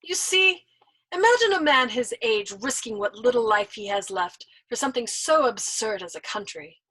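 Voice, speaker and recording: A woman voicing a shameless, worldly old man over a hundred, teasing and smug, in a breathy voice, as she reads a storybook aloud. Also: fairly high pitch, animated, normal speed, a bit bright. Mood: mocking.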